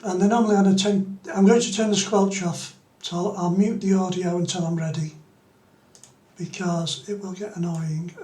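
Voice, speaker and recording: A man with a noticeably high-pitched voice presents an informal, unscripted tutorial. He explains each step as he demonstrates it on screen. The recording has a clear room echo around the voice. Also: steady tone, moderate pace, a little mellow.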